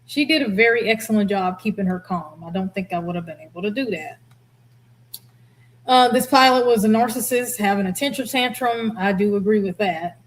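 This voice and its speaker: A woman hosting a casual reaction commentary, reading out comments with a blunt, expressive delivery. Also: mid-range voice, normal speed, poor audio. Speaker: host, former air traffic controller.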